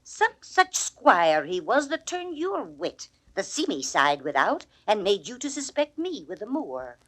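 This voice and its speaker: A woman's voice: medium pitch, sing-song, a little slow, a bit bright. A fierce, swooping loyal waiting-woman. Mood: outraged. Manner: declamatory, emphatic. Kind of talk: classical Shakespearean stage acting on record.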